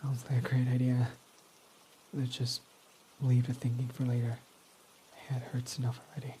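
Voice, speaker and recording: A young man playing a guarded male villain who confesses his love, speaking softly and often sinking to a whisper in a romantic roleplay. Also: mid-range voice, completely flat delivery, normal speed, slight background noise, close to an everyday voice, low-quality recording. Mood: soft, affectionate. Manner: soft-spoken, often dropping to a whisper. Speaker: ASMR roleplay voice actor.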